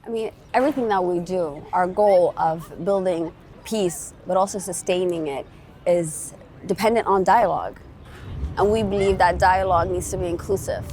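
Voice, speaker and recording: A woman's voice: lowish voice, moderate pace, darkish tone. Woman interview guest over background noise. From Somalia. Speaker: interview guest, peace organisation executive. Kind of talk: earnest interview answers about advocacy work.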